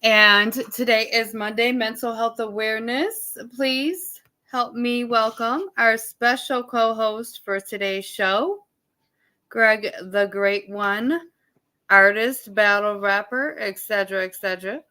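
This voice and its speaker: Woman podcast host, naturally loud and animated, bright sharp tone, chatty and laughing. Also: fairly high pitch, takes it slowly. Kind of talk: casual chat. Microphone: live stream setup.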